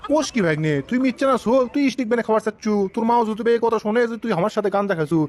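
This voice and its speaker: A cheeky cartoon layabout uncle, acted by a man, high-voiced and quick. He boasts and grumbles in colloquial banter with a northern Bangladeshi accent. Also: animated, a little hiss or hum. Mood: bantering, fussy. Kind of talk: comic cartoon dialogue in rural dialect.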